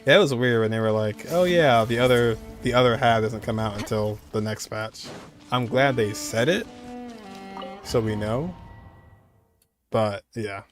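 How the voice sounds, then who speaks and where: A man's voice: fairly low pitch, measured pace, faint music behind. A man live-streaming a game, chatting casually and rambling as he answers viewers, often repeating his phrases.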